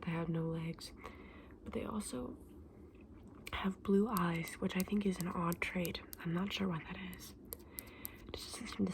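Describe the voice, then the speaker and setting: A woman playing a friendly, candid dragon egg seller in a whispered, soft-spoken ASMR roleplay, explaining things with small hesitations. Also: fairly low pitch, creaky, a little hiss or hum. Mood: cautioning.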